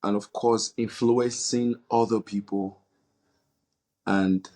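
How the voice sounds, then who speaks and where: A man's voice: lowish voice, steady tone, takes it slowly, mellow. A man with a Nigerian accent answering interview questions in an earnest, hesitant way, searching for words. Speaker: interview guest, non-profit leader.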